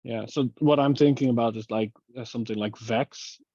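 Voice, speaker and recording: A man's voice: mid-range voice, fairly even intonation, moderate pace, mellow, no echo, muffled recording. A man thinking aloud in an online technical meeting, heavy on fillers like "like" and "um". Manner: thinking aloud, filler-heavy, laughs at his own slips. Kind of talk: casual technical meeting discussion. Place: online video call.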